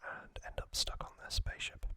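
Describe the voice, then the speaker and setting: An ASMR host speaking in a breathy, unvoiced whisper, giving a hesitant, off-the-cuff recap. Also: a little fast, pushed and bright.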